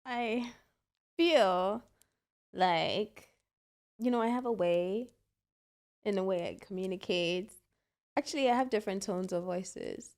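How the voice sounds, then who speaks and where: Woman, from Ghana, interview guest, measured, smooth voice, mid pitch. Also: darkish tone. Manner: thoughtful and measured. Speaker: guest musician. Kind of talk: casual interview chat.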